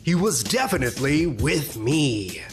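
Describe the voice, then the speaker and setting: An actor playing a nervous, evasive brother on the witness stand in an audio comedy, halting and unconvincing. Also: mid-range voice, sing-song, over music. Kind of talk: audio comedy drama acting.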